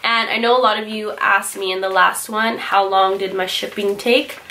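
A woman's voice: mid-range voice, steady tone, moderate pace, noisy background. A young woman in her twenties chatting casually through a haul video, a little nasal from a cold and often correcting herself as she goes. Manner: chatty, rambling, self-correcting. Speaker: beauty vlogger. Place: small bright bedroom with louvered closet doors.

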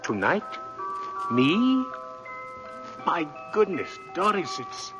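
An older man acting a kindly, gentle old man believed to be Santa Claus in a 1940s radio drama. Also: on the high side, big pitch swings, slow pace. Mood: warm, surprised. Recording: old radio broadcast with music.

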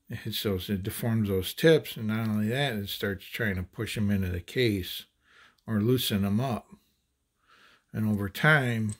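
Husky low-voiced man explaining handloading. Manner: halting, many fillers and restarts. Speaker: handloading channel host. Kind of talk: casual hands-on demonstration.

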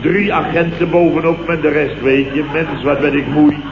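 An actor playing a grumbling, indignant boss with a Dutch accent, blustering sarcastically in 1970s radio comedy style. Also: male voice, fairly high pitch, level tone, moderate pace, close to an everyday voice. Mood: aggrieved. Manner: blustering, exclamatory, sarcastic storytelling. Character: grumbling, indignant male boss recounting his mishaps. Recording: noisy, dull, roomy old radio recording.

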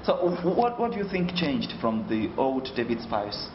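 A man's voice: medium pitch, very animated, normal speed. A young Nigerian man hosting a casual interview, putting questions to his guest. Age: young adult. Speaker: radio show host, interviewer. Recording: echoey, dull, clearly noisy interview audio.

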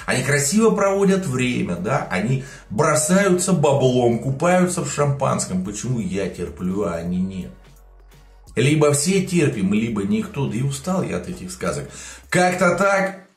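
A man's voice: medium pitch, moderate pace. A man in his forties speaks straight to the camera from a room at home, worked up and indignant as he argues his point. His voice sits in a middle register and carries a noticeable room echo, with faint music underneath. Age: 40s. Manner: indignant, animated, gestures a lot. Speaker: political video blogger. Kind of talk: emotional political commentary. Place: home studio room with plants.

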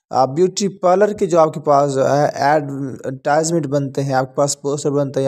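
Casting note: mellow-voiced man, chatty tutorial host with lots of fillers. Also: mid-range voice. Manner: chatty, many fillers and "theek hai ji". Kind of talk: phone screen-recording tutorial.

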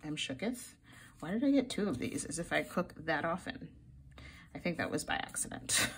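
A woman's voice: sits low, big pitch swings, normal speed, slight background noise, rough recording. A young woman chatting casually through a sticker haul at her desk, gushing and giggly, her voice a little froggy from the early morning. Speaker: planner vlogger.

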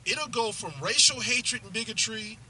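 A man's voice: sits high, normal speed, rough recording. An American man in his fifties chatting casually and emphatically while driving, acting out bits of dialogue as he tells stories. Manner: emphatic storytelling with acted-out dialogue. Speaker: driver talking with a passenger. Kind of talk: casual opinionated chat. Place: inside a moving car. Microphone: dashboard camera. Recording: loud car and road noise.

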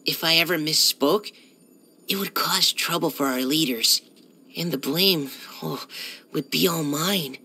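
A timid, well-mannered young male knight from a video game. His voice is bright and youthful, with a crisp, forward edge. He speaks with earnest, hesitant courtesy, always eager to please. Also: a little high, a little slow, a little hiss or hum, heavily acted. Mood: anxious, hesitant. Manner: hesitant, soft-spoken politeness, eager to please. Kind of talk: scripted video game character lines.

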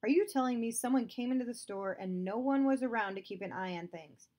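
A woman reading aloud, voicing a stern, domineering father who accuses a girl of theft, louder and higher than her narration. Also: brisk, lightly acted. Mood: accusing.

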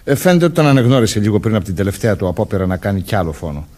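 Lively, swooping policeman. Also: lowish voice. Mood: calm, explaining. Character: undercover policeman posing as a major, reassuring, matter-of-fact. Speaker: radio drama actor. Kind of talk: Greek radio play acting.

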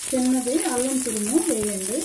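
An older woman giving homely, unhurried step-by-step instructions at her kitchen stove. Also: a little high, noisy background. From India. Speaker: home cook. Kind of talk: cooking demonstration voice-over. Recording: echoey kitchen with sizzling pan. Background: frying and sizzling.

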